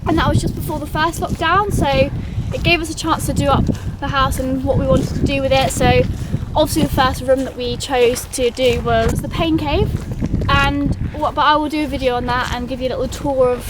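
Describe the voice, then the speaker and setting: A chatty young woman from England with a high voice talks casually, full of ums and little laughs at herself. She is walking outdoors in a forest, recorded on a phone with loud background noise all around her. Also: steady tone, fairly quick, hardly any pauses. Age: 20s. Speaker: vlogger. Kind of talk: casual chat while walking.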